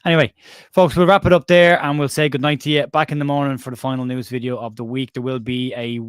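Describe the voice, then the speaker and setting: A man's voice: medium pitch, little pitch movement, fast talker, smooth, dry recording, studio quality. An Irish man from Ireland hosting a casual football chat over a live stream, rambling and chatty, often interrupting himself. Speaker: podcast host. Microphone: remote stream call.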